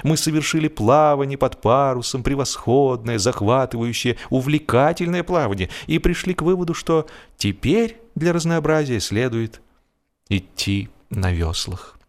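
A man's voice: mid-range voice, expressive, normal speed. A man reading a humorous story as scripted audiobook narration, expressively, drawing out the ends of his phrases.

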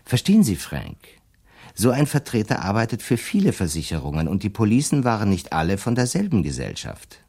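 An actor playing a shrewd, self-assured defence lawyer in a radio play, explaining his schemes deliberately and slyly. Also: on the low side, wildly swooping pitch, warm tone, breathy edge, exaggerated voice. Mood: confident, sly. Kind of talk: German radio drama dialogue.

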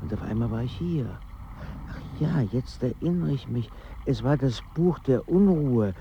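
An actor in a German radio drama playing a confused, fussy middle-class intellectual, with a pedantic, hesitant and formal manner. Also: male voice, medium pitch, animated, a little slow. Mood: bewildered, searching. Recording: dull sound with faint ambient noise.

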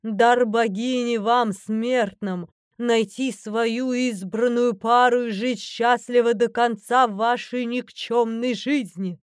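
An imperious mother goddess of a fantasy audiobook, acted out by a woman. Her voice is smooth and resonant, recorded dry and close, and she declaims with stern grandeur and quick irritation. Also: mid-range voice, little pitch movement, no room sound, close to an everyday voice. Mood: wrathful, accusing. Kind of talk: fantasy audiobook character acting.

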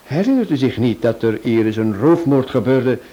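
An actor playing a clever detective who lays out his reasoning in a measured way in a radio play. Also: mid-range voice, expressive, warm tone, lightly acted. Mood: explaining. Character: clever male detective, analytical. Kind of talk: vintage radio play acting. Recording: dull old recording.

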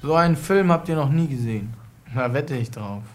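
A man's voice: medium pitch, lively intonation, fairly quick, lightly acted. An actor playing a cocky teenage headbanger boy in an audio drama, taunting and showy. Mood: boastful.

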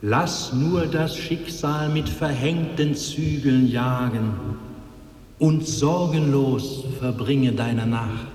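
An echoing male actor's spirit voice, drawn out extremely slowly in long reverb. It is solemn and consoling, chanting verse as in an old radio play. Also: mid-range voice, darkish tone, lightly acted. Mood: solemn, reassuring. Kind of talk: 1950s German radio drama acting.

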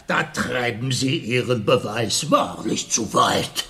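Radio comedy: fussy, argumentative pedant, gravelly creak, slow but very lively intonation. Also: male voice, mid-range voice, full character voice. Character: quibbling, pedantic old-fashioned scholar in learned dispute. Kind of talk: comic literary radio play acting.

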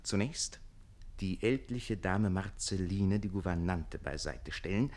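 An actor in a classic German radio play playing a witty, scheming young bridegroom in service, theatrical, ironic and eloquent. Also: male voice, deep voice, measured pace, a little mellow. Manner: theatrical, ironic, eloquent, shifting into conspiratorial muttering.

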